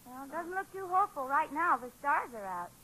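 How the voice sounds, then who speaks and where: High-voiced, practical radio mother. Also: sing-song, brisk, bright, forceful tone, exaggerated voice. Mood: matter-of-fact. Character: practical, gently wry mother and wife. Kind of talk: 1940s radio comedy-drama acting. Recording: very noisy, crackly 1940s radio recording.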